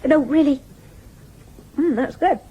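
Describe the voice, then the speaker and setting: An actress playing a lively, polite young shop assistant in a radio drama. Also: female voice, high voice, slow pace, muffled recording, slight background noise. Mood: polite, hurried. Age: young adult.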